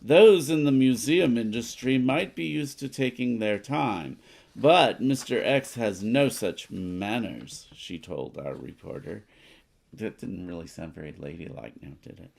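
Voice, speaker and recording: Low, creaky-voiced man at a game table. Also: animated, unhurried, a little mellow. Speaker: co-host of board game playthrough. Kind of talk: casual game chat and reading story text aloud.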